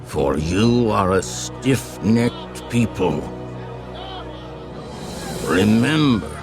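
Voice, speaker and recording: Solemn old bible prophet, low voice, super slow grave oratory, music behind. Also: male voice. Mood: stern, reproving. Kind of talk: dramatized audio Bible reading.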